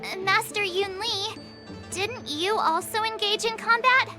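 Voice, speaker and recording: A woman's voice: very high voice, unhurried. A woman voicing a perky, cheeky teenage apprentice swordfighter in a video game, bubbly and girlish, with music behind. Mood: curious.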